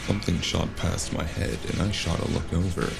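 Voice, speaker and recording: A man with a deep, heavily creaky voice tells a scripted story in the first person. His delivery is gritty and dramatic. Loud music plays under the narration. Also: brisk. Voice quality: gravelly. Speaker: narrator of a cyberpunk audio drama.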